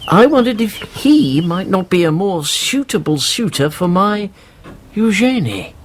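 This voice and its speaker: A male actor playing an obsequious, scheming middle-aged baron and banker in a radio drama, with hesitant, stammering, effusive flattery. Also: high-pitched, a little slow, heavily acted. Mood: hesitant wheedling.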